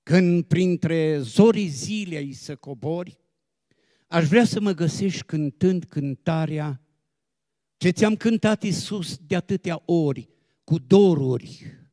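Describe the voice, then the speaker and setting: A man reciting devotional poetry solemnly and expressively, with dramatic phrasing and deliberate pauses. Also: fairly high pitch, dark tone, no room sound, clean studio sound. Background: instrumental music.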